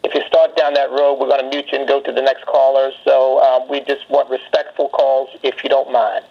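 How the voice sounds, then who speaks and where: A man's voice: mid-range voice, level tone, brisk, vocal fry. An American man hosting and moderating a political conference call. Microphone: telephone. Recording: muffled lo-fi phone conference line.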